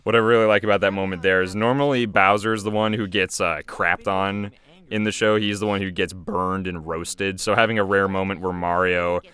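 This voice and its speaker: A man giving informal, off-the-cuff commentary as the creator of an animated show. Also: low voice, normal speed, clean tone. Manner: informal, chuckling, hesitant. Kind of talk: casual commentary.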